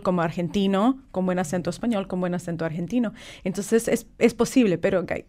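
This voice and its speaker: A woman from California who grew up speaking English gives measured, explanatory answers as a guest on a morning radio show. Her voice sits at an ordinary middle pitch, and she pauses on filler words as she builds her points. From California, United States. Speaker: guest, polyglot author. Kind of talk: radio interview.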